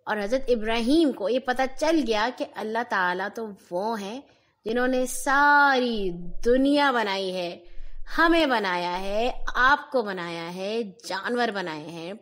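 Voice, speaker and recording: A woman telling moral stories to young children in an expressive, teacherly way, speaking to them directly. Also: fairly high pitch. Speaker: storyteller for children.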